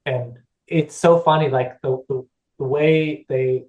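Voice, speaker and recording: A man's voice: medium pitch, steady tone, takes it slowly, roomy sound, narrow, tinny audio. A man in his fifties from California, a podcast guest chatting casually, rambling with many restarts and fillers and laughing easily. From United States (California). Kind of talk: casual chat.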